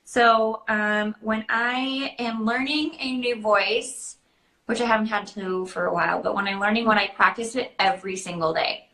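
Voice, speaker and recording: A woman with a bright, forward voice chats informally as she answers questions. Her phrasing is loose and halting, full of "like", and the room around her sounds noticeably echoey. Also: medium pitch. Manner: informal, hesitant phrasing peppered with "like". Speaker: guest answering questions about character voices. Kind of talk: casual Q&A chat.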